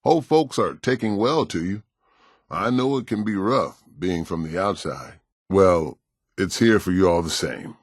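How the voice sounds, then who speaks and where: An actor playing a kindly, world-weary pastor in a video game, with an American accent and a gentle, folksy, plain-spoken way of talking. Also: male voice, low-pitched, expressive, unhurried, no room sound, studio quality. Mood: welcoming, earnest.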